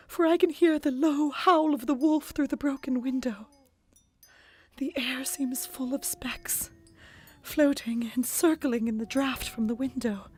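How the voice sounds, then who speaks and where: A woman playing a frightened, despairing young gentlewoman in an audio drama, moving between breathy whispers and tearful cries. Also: high-pitched, a little hiss or hum.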